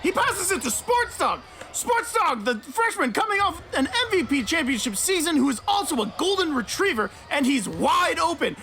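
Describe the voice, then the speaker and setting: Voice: high-pitched, normal speed, hardly any pauses, heavily acted. An actor playing a frantic, melodramatic sports announcer calling basketball play by play in a radio comedy. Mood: excited. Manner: excitable, dramatic. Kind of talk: basketball commentary. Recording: noisy arena crowd behind.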